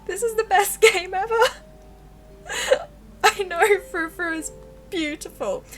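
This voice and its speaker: A woman livestreamer giving casual, expressive, giggly game commentary and reading the game's text aloud. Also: extremely high pitch, slow pace, soft background music. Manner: giggly, expressive, reacts out loud.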